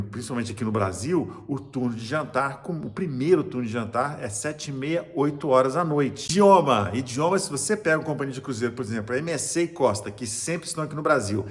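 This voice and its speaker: A man with a Brazilian Portuguese accent, a cruise travel agent chatting enthusiastically and in a salesy way while giving tips, often restarting his sentences. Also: medium pitch. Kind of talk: casual tips vlog to camera.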